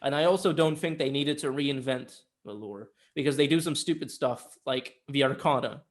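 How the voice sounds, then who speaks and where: A man's voice: mid-range voice, normal speed. A man co-hosting a casual film discussion, argumentative and emphatic, with some casual swearing. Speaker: podcast co-host reviewing films.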